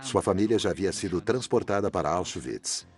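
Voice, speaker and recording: A male documentary narrator speaks in a low voice. His scripted delivery is measured and grave. Each sentence is set down evenly and deliberately. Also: soft background music.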